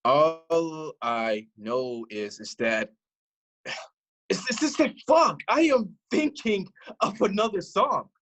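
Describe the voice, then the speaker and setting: An actor playing a self-deprecating young romantic in a stage monologue, speaking in an earnest, halting, rambling way. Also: male voice, on the high side, expressive, fairly bright tone, heavily acted. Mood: self-doubting, earnest. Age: young adult. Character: lovelorn, self-deprecating romantic young man, stubborn dreamer. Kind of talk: theatrical monologue to the audience.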